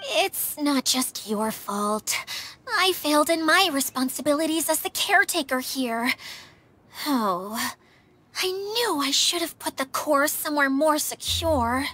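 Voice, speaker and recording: Game caretaker girl: earnest, flustered, high and very animated, light music behind. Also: a little slow, slight background noise, full character voice. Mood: apologetic. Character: earnest, easily flustered female caretaker of magical domain. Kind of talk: video game cutscene voice acting.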